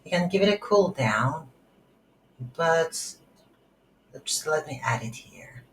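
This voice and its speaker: Very slow, low-voiced woman teaching. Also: lively intonation. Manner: halting, thinking aloud in short phrases. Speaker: tutorial instructor. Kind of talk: unscripted screen-recorded coding tutorial. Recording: roomy echo, audible background noise.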